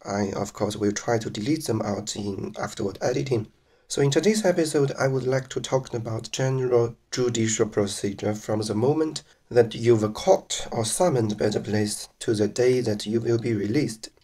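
A man from mainland China, a native Chinese speaker with a Chinese accent, narrating slides on legal procedure in a careful, measured way with some restarts. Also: mid-range voice, darkish tone, husky.

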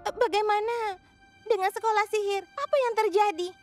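A woman voicing a young girl witch in a children's cartoon, earnest, caring and expressive. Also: very high-pitched, moderate pace. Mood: shocked, worried. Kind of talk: children's animated cartoon dub. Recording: music behind the voice.

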